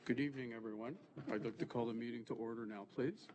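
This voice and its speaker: A man's voice: mid-range voice, steady tone, quick pace, darkish tone, a little hiss or hum. A man with a cold, sounding a little stuffy, chairing a formal committee meeting and moving through agenda items. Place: board meeting room.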